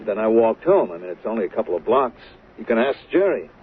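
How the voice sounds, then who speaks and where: An actor playing an evasive working-class husband under questioning in a 1970s radio soap, halting and stammering. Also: male voice, on the low side, sing-song, breathy edge. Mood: evasive, careful. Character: evasive working-class husband suspected of murdering his wife. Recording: muffled, noisy old radio broadcast.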